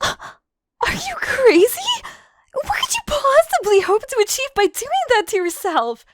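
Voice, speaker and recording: Woman voicing scheming yandere assistant, obsessive and possessive, audio roleplay, drawn-out theatrical delivery, close dry sound. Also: very high-pitched, sing-song, dry recording, heavily acted. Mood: alarmed, furious. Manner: theatrical, formal phrasing, slow and deliberate, dropping into whispers. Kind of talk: scripted audio roleplay, intimate monologue to listener.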